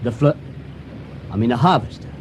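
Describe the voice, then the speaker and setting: An actor playing a folksy, slippery younger boat navigator in a radio drama, hearty and over-reassuring. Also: male voice, mid-range voice, expressive, a little fast, a little hiss or hum, close to an everyday voice. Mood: sly, glib.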